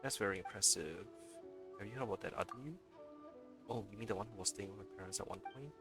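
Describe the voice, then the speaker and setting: A man's voice: lowish voice, fast, music in the background. A man livestreaming a video game, commenting and reading the dialogue aloud as he plays.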